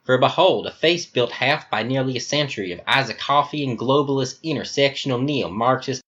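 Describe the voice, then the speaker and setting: A man's voice: mid-range voice, normal speed, fairly bright tone, muffled recording, voice pushed up, natural voice. A man voicing a flamboyant, theatrical middle-aged Southern governor, a gushing villain with florid, grandiose speech and an American Southern accent. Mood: grandiose, fawning.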